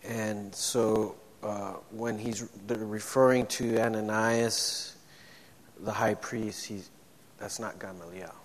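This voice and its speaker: A man with a low voice preaching a sermon in a church, speaking haltingly with frequent restarts. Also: fairly even intonation. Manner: halting, many restarts and fillers. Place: church sanctuary. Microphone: microphone. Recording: long church-hall reverb.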